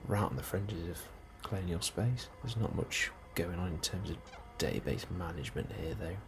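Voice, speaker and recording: A man doing a soft, breathy ASMR role-play as an unhurried, politely understated officer interviewing a newcomer. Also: deep voice, over music. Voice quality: soft, breathy, often near whisper. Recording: steady ambient noise behind the voice. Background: computer and ambience sounds.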